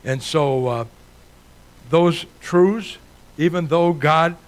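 A man's voice: a little high, slow. An older man born in Italy, preaching a sermon to a church in an earnest, emphatic way, building points through repeated lists. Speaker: guest preacher.

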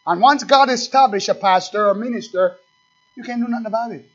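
High-voiced pastor preaching with fire. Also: male voice. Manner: swings from low asides to loud preaching; reads scripture slowly and with emphasis. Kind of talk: fiery sermon. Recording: dull, lo-fi recording.

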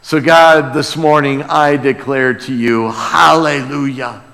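A man preaching and praying to a congregation, earnest in manner, swelling from hushed to emphatic. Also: mid-range voice, steady tone, takes it slowly, gravelly. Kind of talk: sermon and prayer to a congregation. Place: large church room or hall. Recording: reverberant hall sound.

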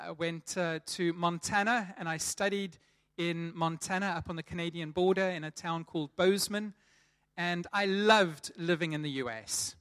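Bright-voiced South African man preaching. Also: high voice. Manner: chatty, repeats words as he restarts. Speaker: church leader preaching. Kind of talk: sermon.

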